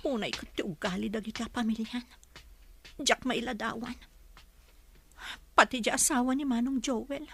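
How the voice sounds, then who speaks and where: A woman's voice: fairly high pitch, moderate pace, creaky, long pauses, slight background noise. A woman with an Ilocano accent playing a put-upon, weary woman in a family radio drama. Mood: aggrieved.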